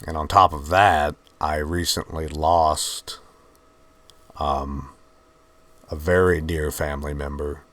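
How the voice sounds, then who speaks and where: A man with a mixed Virginian and Georgian Southern accent, hosting his channel and talking in a subdued, halting, heartfelt way. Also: extremely low pitch, fairly even intonation, fairly bright tone, no echo. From Virginia, USA. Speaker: channel host. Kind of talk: reflective personal talk to camera.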